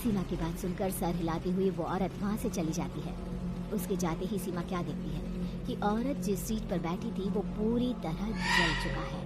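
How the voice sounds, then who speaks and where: A woman's voice: on the low side, normal speed, very noisy. A woman storyteller giving dramatic scripted narration of a horror story.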